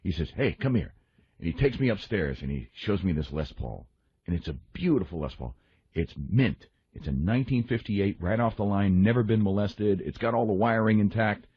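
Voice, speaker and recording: A man's voice: lowish voice, lively intonation, moderate pace, husky, no echo, dull audio. A man chatting on a radio roundtable, telling stories bluntly with swearing and acted-out dialogue. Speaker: radio show panelist. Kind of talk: casual radio roundtable chat.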